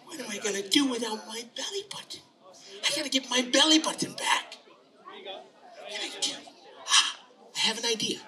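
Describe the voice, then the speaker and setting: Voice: medium pitch, moderate pace, pauses often, a crowd in the background, voice pushed up, close to an everyday voice. A storyteller voicing a whiny, pleading, indignant little boy in a children's story, recorded from a distance. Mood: distressed.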